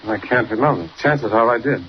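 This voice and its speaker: An American actor playing a cautious, plain-spoken local police chief in a 1940s radio serial. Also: male voice, medium pitch, fairly quick, natural voice. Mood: puzzled. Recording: muffled 1940s radio recording, faint noise.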